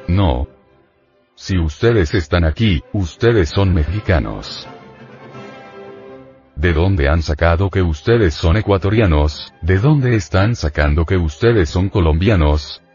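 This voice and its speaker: A man's voice: sits low, darkish tone. A man giving an informal lecture to his students as their spiritual teacher, insistent and admonishing, putting rhetorical questions to them. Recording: dull lo-fi recording with faint music.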